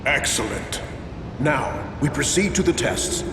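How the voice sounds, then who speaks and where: Grandiose, slow-speaking dark sorcerer villain. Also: male voice, medium pitch, sing-song, background noise. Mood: cold, menacing. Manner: theatrical, deliberate, commanding oratory. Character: grandiose, manipulative male dark sorcerer villain, royal regent. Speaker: voice actor in a game cutscene. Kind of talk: theatrical scripted game drama.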